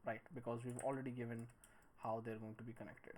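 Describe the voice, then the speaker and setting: A man in his thirties giving a screen-recorded tutorial, thinking aloud in a halting, musing way as he works. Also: medium pitch, level tone, darkish tone. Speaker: 3D modelling tutorial presenter. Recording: noisy room with clicks and typing.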